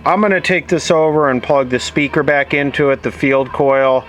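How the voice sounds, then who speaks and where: A man's voice: mid-range voice, normal speed, a bit bright. A man narrating a radio repair at his workbench in a casual way, explaining technical details as he thinks aloud.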